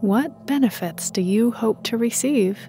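Female meditation narrator, unhurried and soothing, soft dark tone, faint music underneath. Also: on the high side, vocal fry. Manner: calm, soothing, measured. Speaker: meditation guide.

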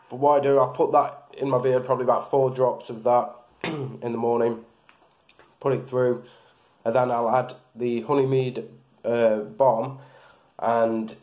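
A man's voice: medium pitch, muffled recording. A man in his thirties casually reviewing beard products, rambling with lots of fillers and restarts, filmed close in a small room.

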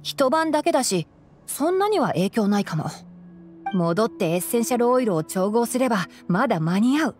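A calm young fox-eared male ranger speaks with the measured care of a principled scholar. The voice sits fairly high, in video game dialogue over faint music. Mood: casual. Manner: measured, articulate, explanatory.

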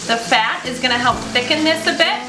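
High-voiced woman hosting a kitchen demo. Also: brisk, recorded from a distance. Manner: chatty, explaining steps as she cooks. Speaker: cooking show host. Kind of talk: casual cooking demonstration. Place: small home kitchen, indoors. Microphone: camera mic at a distance. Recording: echoey kitchen, voice from off-mic, stove noise. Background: sizzling pan and stirring.

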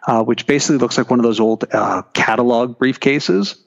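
A man's voice: medium pitch, fairly quick, gravelly, lo-fi sound. A man talking casually as an interview guest, chatty with frequent restarts and fillers. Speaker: guest, keynote speaker.

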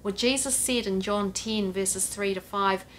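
A woman's voice: medium pitch, unhurried, a little hiss or hum. A middle-aged woman pastor giving an earnest, direct sermon to camera from her living room, gesturing as she speaks. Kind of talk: home church sermon to camera. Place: living room, seated on a sofa. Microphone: camera at a few feet, head and shoulders framing.

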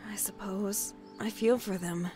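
A woman's voice: mid-range voice, fairly even intonation, measured pace, mellow, faint music behind, lightly acted. A woman playing a vulnerable, guarded survivor in an audio drama, speaking hesitantly. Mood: hesitant, subdued.

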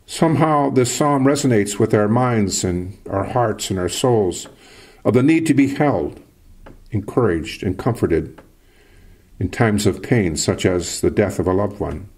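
An older man, a priest from Canada, giving a sermon in a slow, measured preaching cadence with a husky voice. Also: on the low side, expressive, darkish tone.

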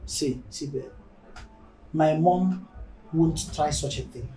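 An actor with a Nigerian accent playing a self-assured husband in a film drama, soothing and insistent. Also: male voice, sits high, sing-song, slow pace, a little mellow, recorded from a distance, exaggerated voice. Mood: reassuring. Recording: echoey room, faint music behind.

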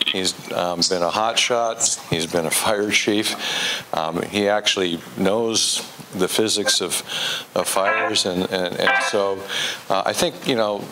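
An older man hosting a conference, introducing the next speaker in a halting, self-deprecating way with many pauses. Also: low-pitched, fairly even intonation. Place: hotel conference room. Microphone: handheld mic. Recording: noisy room.

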